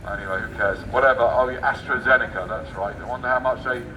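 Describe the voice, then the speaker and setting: A man's voice: mid-range voice, steady tone, fast. An English man reading a newspaper aloud in the street with sarcastic, declamatory commentary and muttered asides. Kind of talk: satirical public read-aloud with ad-libbed commentary. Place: outdoor public street. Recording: distant mic outdoors with faint traffic noise.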